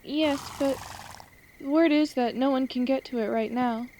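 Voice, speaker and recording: A woman playing a friendly, teasing young ranch girl in a fantasy audio drama, chatty and expressive. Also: high-pitched, measured pace, slight background noise. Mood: concerned, friendly.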